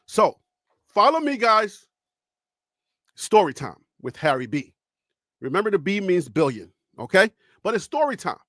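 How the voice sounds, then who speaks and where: Brief: Liberian-accented man, sports stream host, raised pitch, dry close mic, loose storyteller. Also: very animated, unhurried, long pauses, pushed and bright, dry recording. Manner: storytelling, chatty with audience. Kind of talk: live-stream sports talk. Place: home studio.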